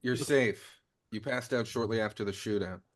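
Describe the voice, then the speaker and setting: A man's voice: on the low side, normal speed, close to an everyday voice. A man playing an apologetic, awkwardly caring secret agent in a comedic script table read.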